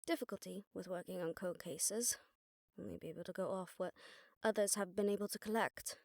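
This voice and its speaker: A woman's voice: mid-range voice, brisk, vocal fry, darkish tone, no echo, clean studio sound, natural voice. A woman playing a jaded, sardonic witch detective who sees visions of death, talking conversationally to a partner in a roleplay audio drama. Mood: resolute, practical.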